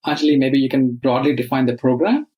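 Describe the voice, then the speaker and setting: A man's voice: mid-range voice, quick pace, warm tone, low-quality recording. Man moderating a panel.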